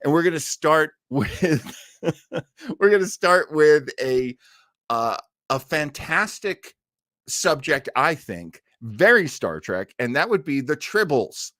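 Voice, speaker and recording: A man hosting an old time radio livestream in a casual, chatty, enthusiastic way, often rambling and pausing to search for words. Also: on the high side, big pitch swings, fairly bright tone, no echo, clean studio sound.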